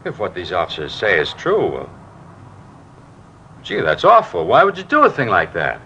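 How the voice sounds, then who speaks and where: On a slightly noisy, dull vintage broadcast, a slippery American garage owner in a crime drama. He is folksy and ingratiating, rattling along brightly in a fairly low voice. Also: wildly swooping pitch, moderate pace, creaky, exaggerated voice. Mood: feigned shock. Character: chatty, folksy, slippery male garage owner feigning innocence. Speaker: actor in a police radio drama. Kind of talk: mid-century American crime drama acting.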